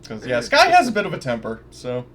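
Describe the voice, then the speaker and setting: A man's voice: medium pitch, wildly swooping pitch, a little fast, fairly bright tone. A man chatting casually and exclaiming with swearing as a player in a tabletop roleplaying game. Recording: faint background noise.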